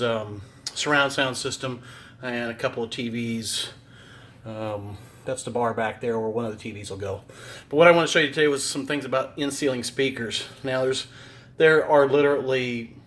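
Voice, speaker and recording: A man, a home theater installer, casually explaining gear in a basement with many ums and uhs. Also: mid-range voice. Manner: relaxed, rambling, lots of fillers. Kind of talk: casual on-site video demo. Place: unfinished basement of a house.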